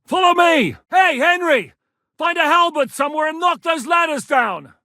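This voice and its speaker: An actor playing a battle-hardened warlord commander who gives blunt, commanding orders and swears freely in the fight, recorded in a dry studio. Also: male voice, very high voice, animated, moderate pace, bright, forceful tone, no room sound, exaggerated voice. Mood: battle-roaring. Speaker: military commander character. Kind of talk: video game voice lines.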